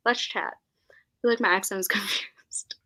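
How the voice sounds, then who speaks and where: A woman's voice: mid-range voice, fairly even intonation, moderate pace, bright, forceful tone. A young woman hosting a casual live-stream book chat, giggly and scattered, reading viewer comments aloud. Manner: giggly, scattered, laughs often.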